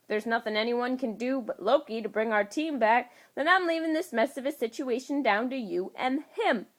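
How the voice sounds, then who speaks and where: A woman voicing a gruff, exasperated male spy director who scolds bluntly and swears, in a storybook reading. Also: a little high, expressive, normal speed, pitched above the performer's own voice, close to an everyday voice. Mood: stern.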